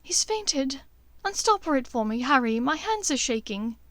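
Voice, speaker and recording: A woman's voice: high-pitched, sing-song, normal speed, a bit bright, dry recording, voice pushed up. A woman voicing an anxious young witch, urgent and pleading, in an audiobook story. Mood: urgent, panicked.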